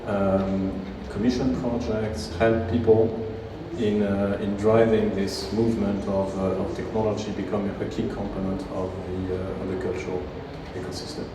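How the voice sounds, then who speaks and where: Flat-voiced man, halting, in a hall. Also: lowish voice. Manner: halting, many fillers and restarts. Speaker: nonprofit executive. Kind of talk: press conference answers. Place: large historic hall. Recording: echoey hall, distant mic, background noise.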